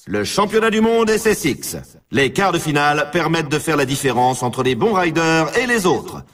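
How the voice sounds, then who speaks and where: An actor playing an excitable male snowboard race announcer in a video game, dramatic and emphatic like a showman. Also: a little high, breathy edge, far from the mic. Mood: grand, hyping. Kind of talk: sports game commentary.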